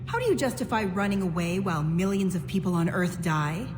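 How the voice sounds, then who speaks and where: An actress playing a pushy, impassioned news reporter in a video game, speaking in an insistent, pointed way. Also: female voice, lowish voice, expressive, a little fast, noisy background, lightly acted. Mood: accusing. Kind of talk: dramatic video game dialogue. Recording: reverberant hall, music behind. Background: music.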